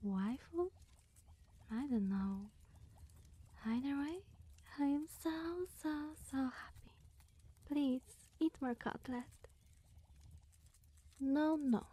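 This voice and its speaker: A woman playing a lonely, awkward immortal witch in an ASMR roleplay, speaking in a breathy, whispery, hesitant and coy way. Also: a little high, slow pace, pauses often, mellow. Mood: giddy, coy.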